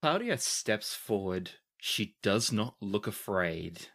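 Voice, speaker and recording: A game master narrating a tabletop game with deliberate, dramatic scene-setting. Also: low voice, sing-song, a bit bright.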